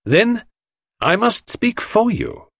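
A man voicing a theatrical, commanding detective, formal and deliberate, in an audiobook. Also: high-pitched, huge pitch swings, a bit bright, slightly rough, no echo, pitched above the performer's own voice, exaggerated voice. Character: theatrical Belgian male detective, precise, commanding, dramatic. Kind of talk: audiobook character voice.

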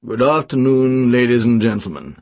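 A man voicing a posh male auctioneer with a plummy upper-class British accent, announcing bids in a slow, formal, drawn-out way. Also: mid-range voice, animated, a little mellow, no echo, voice pushed down, natural voice. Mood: formal, unhurried.

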